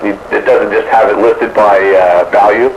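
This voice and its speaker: Low-voiced man on noisy, echoey radio. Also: fairly even intonation, normal speed. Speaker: amateur radio operator. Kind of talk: casual technical radio chat. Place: two-way radio link. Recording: dull noisy radio transmission, boxy echo.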